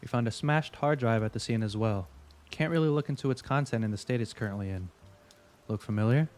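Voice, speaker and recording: A man's voice: medium pitch, a little mellow, natural voice. A man playing a smug veteran investigator in an audio drama, wry and probing as he interrogates. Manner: wry, needling, deliberate.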